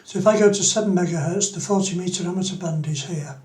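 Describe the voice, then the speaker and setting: High-pitched man presenting a tutorial, roomy sound. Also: quick pace, darkish tone. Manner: explanatory, step-by-step. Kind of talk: unscripted screen-demo tutorial.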